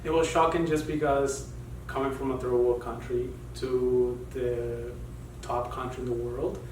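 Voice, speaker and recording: A man's voice: mid-range voice, little pitch movement, a little mellow. Reflective Colombian-born man, distant roomy sound. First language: Spanish. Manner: reflective, halting, many restarts. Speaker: artist guest. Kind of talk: casual interview talk. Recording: roomy and noisy, mic far off.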